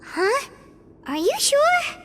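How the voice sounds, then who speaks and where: A woman voicing a small, childlike, loyal fairy companion in a video game, squeaky, earnest and emphatic. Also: sing-song, drawn-out words, noisy background, heavily acted. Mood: surprised.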